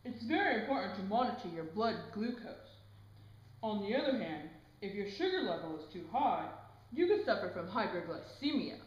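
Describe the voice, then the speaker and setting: A woman's voice: medium pitch, very animated, normal speed. A woman presenting scripted educational health narration. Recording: dull echoey room sound.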